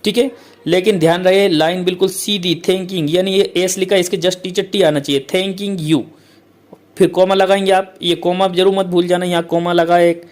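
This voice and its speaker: A male teacher who explains a letter format step by step, repeats points often and reads English lines out slowly. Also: high voice, fairly even intonation. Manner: repetitive, keeps saying "okay, friends".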